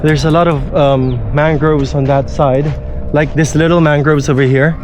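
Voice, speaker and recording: A man's voice: fairly high pitch, steady tone, normal speed. A man from the Philippines, a travel vlogger giving easygoing walking commentary outdoors and talking straight to viewers. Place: outdoors, walking through a quiet new town. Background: background music.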